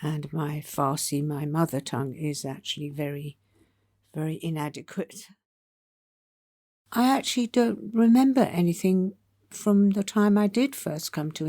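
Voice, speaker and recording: An older woman from Iran, a writer telling her life story in a thoughtful, unhurried way with soft pauses. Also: sits low, no room sound, studio quality. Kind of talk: reflective personal storytelling.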